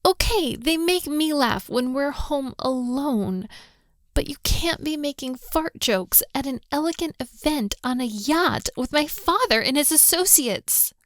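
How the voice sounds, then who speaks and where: A woman's voice: high voice, fairly bright tone, no room sound, studio quality. A woman playing a hot-tempered, lovestruck young daughter of a mafia boss in a girlfriend roleplay audio drama, exasperated and scolding. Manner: scolding, exasperated, with sighs and breathy asides.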